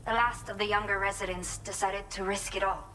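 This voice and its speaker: A woman's voice: mid-range voice, steady tone, brisk, pushed and bright, a little hiss or hum, natural voice, low-quality recording. A woman playing a hopeful, caring settlement resident in a video game, explaining things earnestly. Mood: hopeful, informative. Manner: earnest, informative. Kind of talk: scripted game cutscene dialogue.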